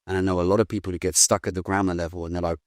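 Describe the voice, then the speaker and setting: Blunt, swearing British man with a deep voice. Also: very fast, no room sound, studio quality. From Britain. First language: English. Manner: blunt, animated, swears casually. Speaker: guest. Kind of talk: casual conversational interview.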